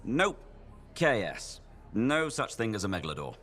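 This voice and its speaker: A teasing roguish traveler. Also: male voice, on the low side, very animated, moderate pace, fairly bright tone. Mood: playful. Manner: joking, teasing, theatrical. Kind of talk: video game cutscene acting.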